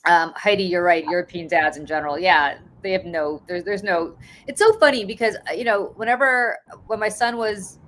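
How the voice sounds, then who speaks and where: A woman's voice: fairly low pitch, moderate pace, hardly any pauses, fairly bright tone, slight background noise. A woman in her forties, originally from Russia, chatting casually as a podcast host and laughing through her stories. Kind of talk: casual chat.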